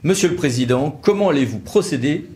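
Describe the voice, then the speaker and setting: A man's voice: on the high side, lively intonation, brisk, darkish tone. A man presenting a legal news review, explaining points in an emphatic, didactic way with conversational asides. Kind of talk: brisk explanatory commentary with interview questions.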